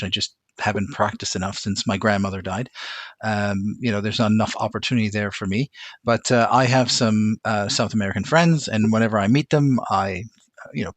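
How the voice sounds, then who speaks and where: A man with a fairly low voice, recorded dry and close. He is from Lebanon and speaks as an interview guest, in a measured, reflective and somewhat hesitant conversational manner. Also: a bit bright, no room sound. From Lebanon, immigrated to Montreal as a teenager. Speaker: interview guest, corporate aviation operations manager.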